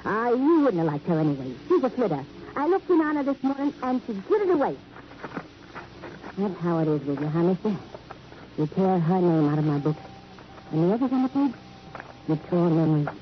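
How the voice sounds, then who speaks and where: A woman's voice: on the low side, sing-song, a little fast, long pauses. A woman playing a world-weary hotel keeper in an old radio crime drama, musing in a sardonic, insinuating way. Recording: muffled old radio recording, faint noise.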